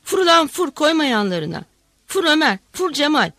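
A woman's voice: sits high, sing-song, normal speed, a bit bright, dry recording, voice pushed up, full character voice. A woman voicing a frantic screaming village woman.

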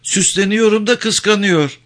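A man voicing a vain, flirtatious, sharp-tongued married woman from a novel, coquettish and easily indignant. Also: sits high, measured pace, voice pushed up. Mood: vain, complaining.

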